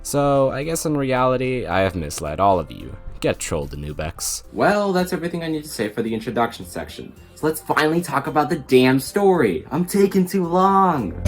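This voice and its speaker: A man's voice: mid-range voice, big pitch swings, moderate pace, hardly any pauses. A young man reading a scripted video game review, with jokey, self-aware asides. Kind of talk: scripted video essay narration. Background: background music.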